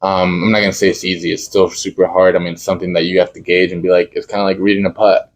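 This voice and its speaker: A man's voice: low-pitched, little pitch movement. A young man, a college football kicker from Dallas whose first language is Spanish, answering interview questions casually and searching for words. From Dallas, Texas. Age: young adult. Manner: halting, searching for words with many fillers.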